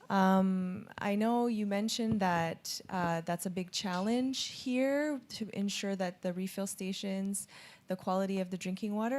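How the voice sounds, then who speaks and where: A woman's voice: medium pitch, darkish tone. A woman from Canada with a Canadian accent, asking an unscripted question from the audience.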